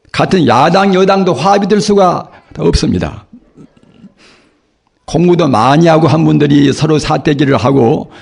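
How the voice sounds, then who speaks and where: An older man, a Buddhist monk, giving a sermon in a hoarse voice from a cold, preaching slowly and earnestly with rhetorical questions. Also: on the high side, darkish tone. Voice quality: gravelly, husky. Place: temple dharma hall.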